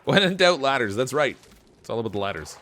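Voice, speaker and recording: A man streaming a game live, thinking aloud and answering chat, his voice still lower than usual from a cold, with frequent laughs. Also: very animated, quick pace, a bit bright, faint music behind. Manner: chatty, laughs often, thinks aloud with restarts. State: voice lower from getting over a cold. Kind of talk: live gameplay commentary.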